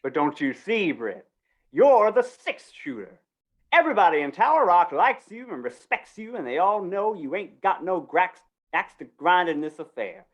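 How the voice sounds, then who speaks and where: A woman's voice: lowish voice, sing-song, normal speed. A woman acting a flustered, wheedling small-town male undertaker in a 1950s-style Western radio play, stammering in rustic speech. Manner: stammering, pleading, rustic grammar.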